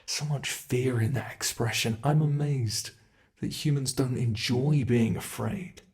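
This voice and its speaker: A man voicing a sadistic, playful ancient monster hunting human prey in an ASMR roleplay, taunting theatrically and slipping into breathy whispers. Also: medium pitch, fairly even intonation, measured pace, darkish tone, lightly acted. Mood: savoring menace. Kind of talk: ASMR roleplay, scripted monologue.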